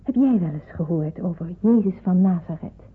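Muffled, very husky, earnest young woman. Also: lowish voice, lively intonation, moderate pace. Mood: earnest, pensive. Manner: earnest, hesitant, reflective. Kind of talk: Dutch radio drama acting. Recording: muffled, phone-like radio play recording.

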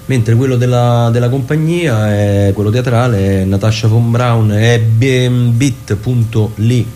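A man's voice: mid-range voice, unhurried, dark tone, a little hiss or hum. A man chatting casually as a theatre guest on the radio, wry and rambling. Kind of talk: casual radio interview chat. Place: radio studio.

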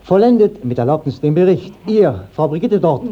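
An actor playing an obsequious, precise court clerk in a 1950s German radio play, speaking formally and carefully. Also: male voice, a little high, animated, normal speed. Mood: smooth, insinuating. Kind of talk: 1950s German radio play acting of classic verse comedy. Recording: dull 1950s radio drama recording.

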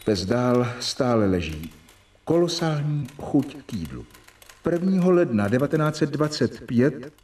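A man's voice: medium pitch, big pitch swings, darkish tone, recorded from a distance, close to an everyday voice. A man playing a doctor narrating a journal. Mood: earnest. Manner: measured, reporting dates and symptoms. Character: young male doctor keeping a medical journal. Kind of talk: journal-style narration in a radio play.

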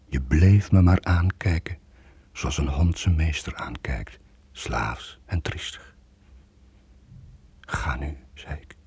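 An actor playing a brooding, guilt-ridden sailor in a radio drama from the 1980s, confessing in a deep, husky voice. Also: male voice, lively intonation, slow, long pauses, darkish tone, exaggerated voice. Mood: anguished, regretful. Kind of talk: 1980s radio drama, intimate narrating monologue.

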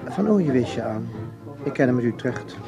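An actor playing an urbane, probing academic in a radio play, polite and wry, at a noisy party. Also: male voice, mid-range voice, animated, normal speed, gravelly. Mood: wry, guarded. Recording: loud party crowd and music.

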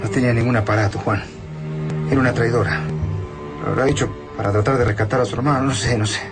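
A bitter, shaken man played by an actor in a radio play, speaking with an Argentine accent. His mid-pitched voice sits in loud dramatic music. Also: steady tone, fast talker, close to an everyday voice. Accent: Argentine, Rioplatense.

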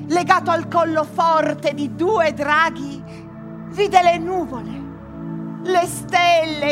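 An actress playing a solemn chorus storyteller, declaiming verse in deliberate phrases for a radio drama. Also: female voice, sits high. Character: chorus, solemn female storyteller of wondrous voyages. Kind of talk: theatrical verse narration on radio. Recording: music behind the voice.

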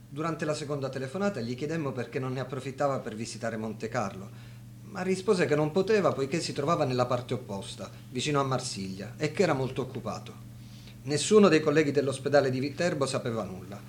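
A man's voice: mid-range voice, a little hiss or hum, lightly acted. An actor playing a grave brother recalling his lost sibling in sober, matter-of-fact radio drama testimony.

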